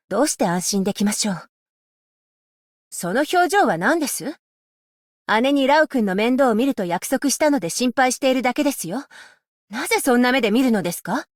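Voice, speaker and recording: A voice actress playing a stern, dutiful female magistrate-scholar, speaking in a formal, measured and politely stern way for a game's voice lines. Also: a little high, no room sound, clean studio sound, lightly acted. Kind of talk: game character voice lines. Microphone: close studio mic, dry.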